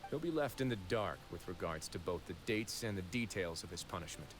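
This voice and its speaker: An actor playing a composed, wry man of authority in a video game, measured and formal in his phrasing. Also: deep voice, animated, music in the background. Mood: cool, wry. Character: composed, wry adult male prison authority with dark past. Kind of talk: video game character acting. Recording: clear noise behind game dialogue.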